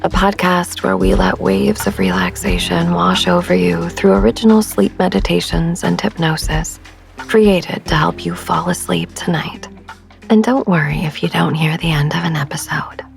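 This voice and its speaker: A woman hosting a sleep meditation podcast, speaking gently and soothingly in a soft, breathy near-whisper, unhurried. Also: fairly low pitch, expressive, faint music behind.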